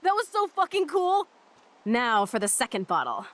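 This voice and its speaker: Brash, animated American punk girl. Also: a little high, moderate pace. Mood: excited. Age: young adult. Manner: cocky, slangy, animated. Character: brash, excitable rebellious punk teenage girl. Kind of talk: video game voice acting.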